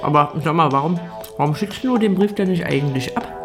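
A woman's voice: deep voice, a little fast, over music. An actress playing a blunt, weary young working woman in a radio drama, colloquial and no-nonsense. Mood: probing, sceptical. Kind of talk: German radio drama acting.

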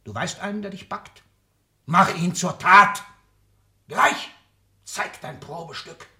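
An actor playing an ailing, power-hungry old tycoon and father in a 1960s German radio play, declamatory and commanding, moving between whispers and shouts. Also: sits high, slow, exaggerated voice. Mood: fervent urging. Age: older.

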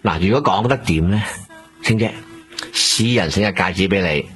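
A menacing nightclub boss. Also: male voice, sits low, a bit bright, faint music behind. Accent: Hong Kong Cantonese. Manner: smooth threatening bargaining, slow warnings. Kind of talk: radio drama acting.

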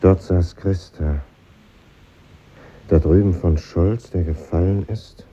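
An actor playing a brooding, introspective artist in a literary, deliberate manner, in 1950s radio drama style. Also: male voice, deep voice, steady tone, mellow, slightly rough, heavily acted. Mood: wistful, pensive. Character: brooding male artist looking back on his schooldays. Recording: muffled, hissy old radio recording.

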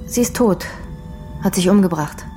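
A woman's voice: mid-range voice, moderate pace, dark tone, faint music behind, lightly acted. An actress playing a wary, educated young woman in a crime radio drama, terse and firm under threat. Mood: frightened, defiant.

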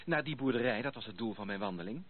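An actor playing a courteous, gently teasing gentleman in a Dutch radio play. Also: medium pitch, animated, fast talker, close to an everyday voice. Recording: narrow-band old radio recording with noise.

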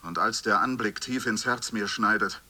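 A man's voice: fairly low pitch, normal speed, bright, forceful tone. A man gives a formal, declamatory recitation of literary text.

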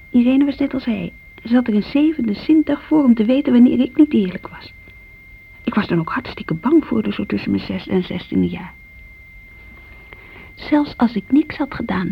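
Actress as anxious, creaky-voiced younger sister. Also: female voice, mid-range voice, lightly acted. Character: insecure grown younger sister, anxious, eager to be liked. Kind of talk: radio play monologue, confiding reminiscence. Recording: muffled radio-play recording with faint hum.

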